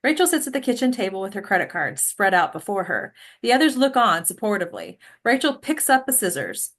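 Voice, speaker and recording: A woman's voice: mid-range voice, very animated, brisk, a bit bright. A woman reading stage directions as scripted narration at a table read.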